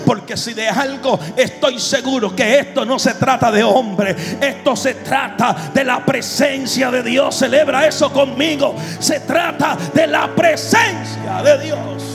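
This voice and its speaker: A man in his thirties with a Dominican accent, preaching a fervent sermon into a handheld mic, swinging between shouts and hushed lines. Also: very high-pitched, a little slow, few pauses, long reverb tail, music in the background. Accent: Dominican Spanish. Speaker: pastor. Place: large church stage with an audience. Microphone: handheld microphone.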